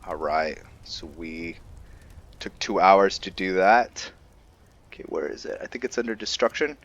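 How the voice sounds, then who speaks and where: A man hosting a gaming channel, giving laid-back, halting roleplay commentary as he thinks aloud. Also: low voice, expressive, highs cut off. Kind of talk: casual roleplay game commentary.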